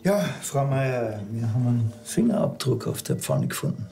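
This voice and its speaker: A man's voice: mid-range voice, big pitch swings, moderate pace, creaky, warm tone, faint music behind. A man acting as a dry, brusque village police officer with a Bavarian accent and a curt, offhand way of talking. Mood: matter-of-fact.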